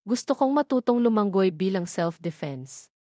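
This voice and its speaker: A feisty, independent young Filipina heroine, acted by a woman narrator in a romance audio drama. She speaks scripted lines at a medium pitch, with a very dry sound. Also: lively intonation, normal speed, dark tone, no echo, lightly acted. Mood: reassuring.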